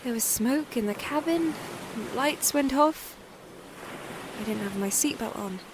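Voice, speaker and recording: A woman playing a frightened, weary young plane-crash survivor in an audio drama monologue, confiding in a halting, plain-spoken, wryly understated way. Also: fairly high pitch, lively intonation. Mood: shaken, subdued. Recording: clearly noisy background.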